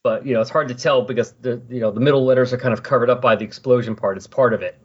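A man with a slightly husky voice talking casually about comic books as a podcast host, retelling scenes in an animated way. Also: medium pitch, rapid-fire, muffled recording. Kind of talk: casual comic book discussion.

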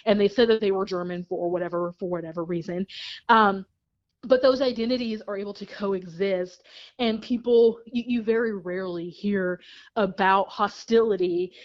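Woman, interview guest, chatty and discursive, lots of restarts, dull lo-fi audio. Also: medium pitch. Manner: many restarts and repeated words, laughs between points. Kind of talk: explaining history in casual conversation.

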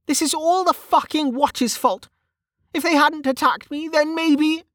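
A woman's voice: high-pitched, measured pace, dry recording, clean studio sound, voice pushed up. A woman voicing a bitter, weary, hot-tempered and foul-mouthed woman in an audiobook, snappish and scornful.

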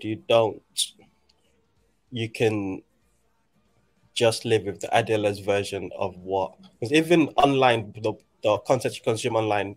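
A man in his late twenties, raised in Nigeria, speaks in a fairly low voice. He talks thoughtfully and haltingly, restarting his sentences as he works through his ideas in a relaxed conversation. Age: 20s. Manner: reflective, halting, many restarts. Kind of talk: casual conversation.

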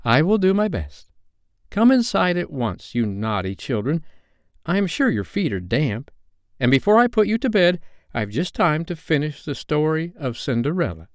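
Little storybook girl acted by a man, sweet, motherly, prim, earnest, high pitch, lilting. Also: sing-song, moderate pace, no room sound, clean studio sound. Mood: fondly motherly.

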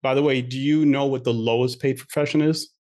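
A man's voice: mid-range voice, fairly even intonation, brisk, a little mellow. A middle-aged man from Queens, New York, whose first language is French, telling his story as a guest in a casual interview, chatty and joking. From Queens, New York, USA. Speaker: podcast guest.

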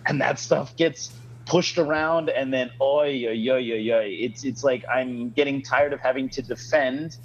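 A man's voice: mid-range voice, measured pace, dull audio. A man hosting a casual podcast, a martial arts instructor, ranting frankly with many fillers.

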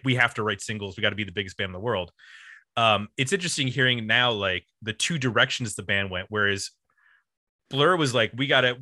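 A man with a very bright, forceful voice hosts a casual music discussion. He talks like an animated storyteller, and the sound is dry and close. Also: medium pitch, dry recording. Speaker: music podcast host.

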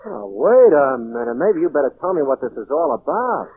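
An actor playing a tired, easygoing working husband, puzzled and grumbling, in an old-time radio drama. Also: male voice, fairly high pitch, sing-song, normal speed, husky. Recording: muffled lo-fi old radio recording.

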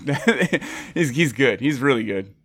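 A man hosting a casual interview, goofy and animated, sometimes tripping over his words. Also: medium pitch, a little slow, husky. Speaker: interviewer, podcast host.

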